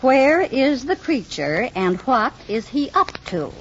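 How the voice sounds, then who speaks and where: A woman's voice: high voice, sing-song, slow pace, heavily acted. An actress playing an opinionated, alarmist older landlady in a 1950s American radio drama. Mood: indignant, alarmed. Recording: dull vintage vinyl radio recording, hissy.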